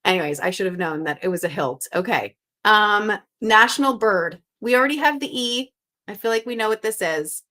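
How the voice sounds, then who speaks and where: A woman from Canada in her thirties or forties hosts a live puzzle stream, chatting casually and reading viewers' guesses aloud. Her voice is bright and clear. She sounds upbeat and talks her thinking through out loud. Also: medium pitch, animated, moderate pace. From Canada (Montreal). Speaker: host of a live crossword stream.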